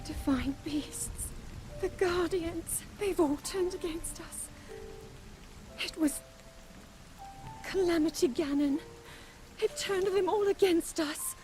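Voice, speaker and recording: Very high-voiced, anguished young princess. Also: female voice, darkish tone, faint music behind. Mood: grief, despair. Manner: emotive, halting delivery. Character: young princess, earnest, anguished, desperate yet resolute. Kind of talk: dramatic video game cutscene acting.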